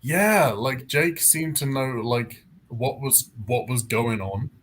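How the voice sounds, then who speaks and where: A man with a mid-pitched voice hosts a casual book chat. There is a slight noise behind him on the livestream. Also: takes it slowly, a bit bright. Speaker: book readalong host. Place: indoors, online group call.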